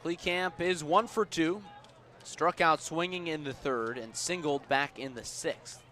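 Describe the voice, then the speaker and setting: A man calling baseball live on the radio, measured between pitches and punchier on plays, as an announcer does. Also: sharp tone. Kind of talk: live radio sports commentary. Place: outdoor baseball ballpark. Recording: outdoor ballpark ambient noise.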